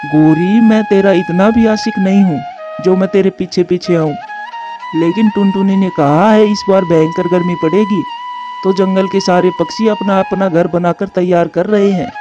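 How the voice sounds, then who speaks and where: A man's voice: high-pitched, steady tone, moderate pace, dull audio, over music, natural voice. A man voicing a henpecked, grumbling crow husband in a Hindi cartoon. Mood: exasperated.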